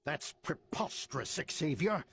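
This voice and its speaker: A man's voice: on the high side, animated, measured pace, creaky, a bit bright, a little hiss or hum, full character voice. A man playing an imperious, impatient and fiercely protective mutant father in a video game. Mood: urgent, angry. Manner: imperious, theatrical. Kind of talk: video game cutscene acting.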